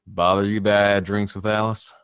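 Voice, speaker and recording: A man's voice: fairly low pitch, flat delivery, fairly quick, fairly bright tone, clean tone, no echo, clean studio sound, lightly acted. A man reading a guarded, tough but generous character in a screenplay table read, terse and offhand.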